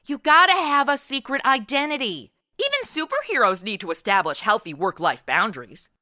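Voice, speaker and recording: A woman's voice: fairly high pitch, big pitch swings, a little fast, pushed and bright, no echo, studio quality. An actress playing a sarcastic modern young woman and reluctant sidekick, snarky and blunt, in a comedy radio play. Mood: incredulous.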